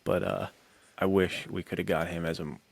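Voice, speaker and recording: A man's voice: sits low, very animated, brisk, gravelly. A man chatting casually as a podcast co-host, blunt and full of bro slang. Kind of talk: casual movie chat.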